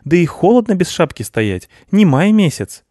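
A woman's voice: on the low side, big pitch swings, mellow, dry recording, clean studio sound, pitched above the performer's own voice. A man voicing an impatient young Snow Maiden from a storybook, scolding and hurrying her grandfather. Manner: bossy, scolding, hurried.

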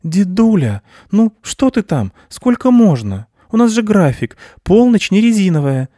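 A woman's voice: medium pitch, sing-song, mellow, no echo, studio quality, pitched above the performer's own voice. A man voicing an impatient young Snow Maiden from a storybook, scolding and hurrying her grandfather. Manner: bossy, scolding, hurried.

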